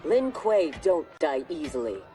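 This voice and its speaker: A self-assured ninja fighter in a game cutscene. She sounds cool and clipped, a little away from the mic, beneath very loud music. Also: deep voice, sing-song, measured pace, distant mic. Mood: defiant, cool. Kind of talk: video game acting.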